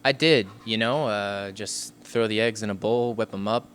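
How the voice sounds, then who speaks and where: Deadpan man with a lowish voice. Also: moderate pace. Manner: deadpan, hesitant, tongue-in-cheek. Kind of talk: casual interview answers. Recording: faint background room noise.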